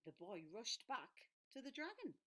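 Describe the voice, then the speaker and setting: A woman storyteller reading to children in a dramatic, deliberate and clearly phrased way. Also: on the high side, very animated, bright, forceful tone. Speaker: storyteller for an English school.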